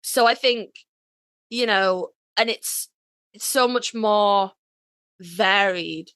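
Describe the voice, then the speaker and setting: A woman's voice: mid-range voice, takes it slowly, a bit bright, clean tone, no room sound. A woman chatting casually as a podcast host, emphatic and swearing freely. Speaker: author.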